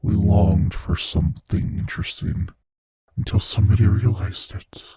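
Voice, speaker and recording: A man voicing an ancient immortal monster in a horror ASMR roleplay, hushed and breathy, slow and menacing, world-weary yet savoring fear. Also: no room sound, clean studio sound. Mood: confiding, brooding. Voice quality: hushed, husky, breathy low voice. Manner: slow, menacing, philosophical, intimately taunting. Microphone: close studio mic, dry.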